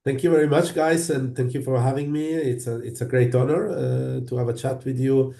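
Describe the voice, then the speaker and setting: A middle-aged man with an Italian accent from northern Italy, a professor being interviewed over a video call and talking in a measured way with many uhs. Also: medium pitch, fairly even intonation, creaky, dark tone. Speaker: guest, business school professor. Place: home in London. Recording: echoey room on a video call.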